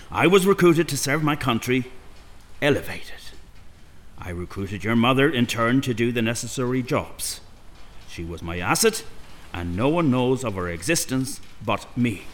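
An actor playing a smug, coldly polite Irish government man turned kidnapper in an audio drama, with a pompous, measured manner. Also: medium pitch, lightly acted. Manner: pompous, mock-courteous, measured.

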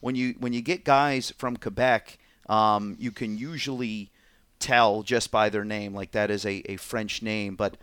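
A man's voice: fairly low pitch, steady tone, a bit bright, smooth, no room sound. A man hosting a sports podcast, asking questions in a friendly, conversational way. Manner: friendly, conversational, quick acknowledgments. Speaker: podcast host. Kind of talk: podcast interview, reads sponsor ads.

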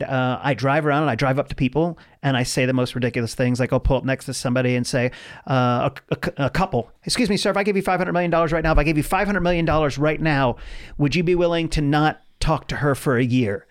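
A man in his forties, a stand-up comedian talking casually as an interview guest, telling stories in an animated way and acting out dialogue. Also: mid-range voice, fast. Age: 40s. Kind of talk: casual conversational storytelling.

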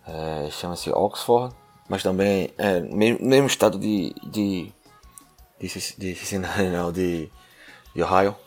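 Casual co-host, young man from northeast Brazil, low voice, hesitant, faint music playing under his talk. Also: measured pace. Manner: hesitant, with restarts and light laughs. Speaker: podcast co-host. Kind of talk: casual podcast chat.

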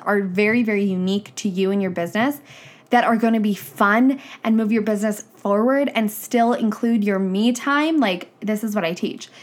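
A young woman, a business coach, talking casually on her podcast, her voice nasal and stuffy from a cold, frank and chatty. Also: mid-range voice. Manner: chatty, frank, says "like" and "right?" often.

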